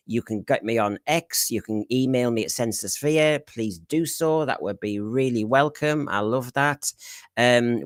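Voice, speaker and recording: A man's voice: mid-range voice, no echo. A man hosting a live fan podcast stream, chatty and jokey, rambling through asides and housekeeping. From Britain. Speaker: podcast host. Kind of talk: casual live stream chat. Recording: dry close sound.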